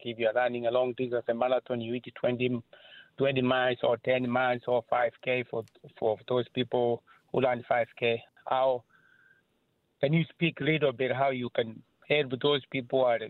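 A man with a Kenyan accent calling in to a radio talk show, halting and searching for words as he asks his question. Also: medium pitch, little pitch movement, no echo. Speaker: caller. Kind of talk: phone call-in question. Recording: tinny phone line.

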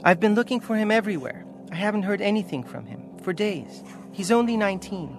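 A man's voice: high-pitched, lively intonation, fairly quick, over music, pitched above the performer's own voice. A man voicing a desperate mother who is searching for her teenage son, asking anxious, pleading questions.

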